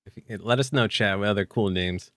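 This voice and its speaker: Raspy, hoarse man co-hosting. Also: lowish voice, a little fast, a bit bright, dry recording, studio quality. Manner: easygoing, with frequent fillers. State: hoarse, still coughing after illness. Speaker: podcast co-host. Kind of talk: casual chat about tabletop game rules.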